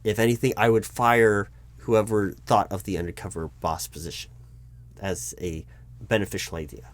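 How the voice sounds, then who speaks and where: A man playing a flustered human resources manager in an audio roleplay, explaining insistently to his boss in a halting, repetitive way. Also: fairly low pitch, fairly even intonation, no room sound, lightly acted. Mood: exasperated, critical. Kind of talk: one-sided improvised audio roleplay, loud spoken.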